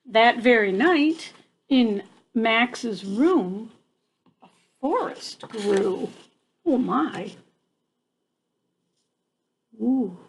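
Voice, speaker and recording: A woman's voice: on the high side, lively intonation, drawn-out words, pauses often, husky, poor audio. An older woman reading a picture book aloud to children like a grandmother, adding chatty asides and checking in with her young listeners.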